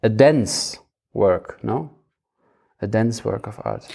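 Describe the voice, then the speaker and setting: A man's voice: on the low side, slow pace, gravelly, warm tone, breathy edge. A man in casual, reflective discussion, thinking aloud and repeating phrases as he searches for words. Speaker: theatre practitioner in conversation.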